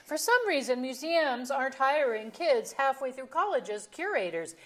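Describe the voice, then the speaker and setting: A woman's voice: high-pitched, big pitch swings, normal speed. A woman playing a sardonic, blunt middle-aged wife and mother in a staged comedy reading, with a dry delivery. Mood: wry. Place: auditorium stage.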